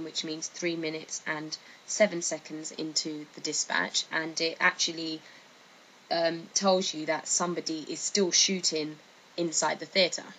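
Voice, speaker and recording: Low-voiced woman, tentative narrator. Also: a bit bright, poor audio. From United Kingdom. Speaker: video narrator. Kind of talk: informal explanatory commentary. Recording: faint background noise.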